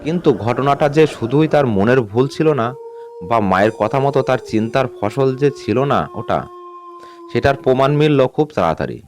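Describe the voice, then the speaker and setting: A man narrating a scripted ghost story in a measured, suspenseful way. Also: mid-range voice, expressive, darkish tone. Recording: music bed under the voice. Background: background music.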